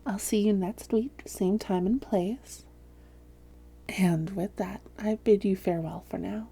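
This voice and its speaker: A woman playing a seductive, domineering vampire posing as a therapist in an intimate roleplay audio, speaking softly and deliberately with frequent whispering. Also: medium pitch, exaggerated voice. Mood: soothing, professional. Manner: soft, breathy, often whispering, deliberate. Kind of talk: intimate roleplay audio drama, hypnotic delivery.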